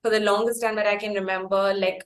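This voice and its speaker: A woman's voice: medium pitch, level tone, fast talker. A woman with an Indian accent hosting a conversational interview, speaking in an effusive, wordy way. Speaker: interviewer, host.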